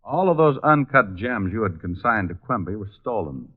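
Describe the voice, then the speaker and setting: A low-voiced, wisecracking private eye. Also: male voice, sing-song, normal speed, breathy edge. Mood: brisk questioning. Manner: breezy, wry, probing. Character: cool, wisecracking male private detective. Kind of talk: old-time radio detective acting and narration. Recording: muffled vintage radio recording.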